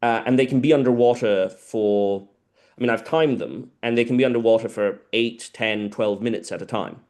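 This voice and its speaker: A man's voice: medium pitch, moderate pace, darkish tone. A man from South America, a native Spanish speaker, answering audience questions at a seminar in an informal, detailed way. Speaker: guest lecturer.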